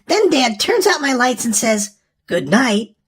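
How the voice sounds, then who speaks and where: Female children's storyteller: high voice, hugely varied intonation, expressive scripted read-aloud. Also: moderate pace, breathy edge. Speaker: storyteller for a children's book channel.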